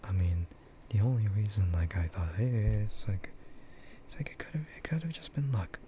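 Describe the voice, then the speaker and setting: A man speaking softly in a breathy half-whisper, rambling casually and hesitantly like an ASMR streamer close to the mic. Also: lowish voice. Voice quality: soft, breathy, often whispered. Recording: muffled lo-fi stream audio, clear background noise.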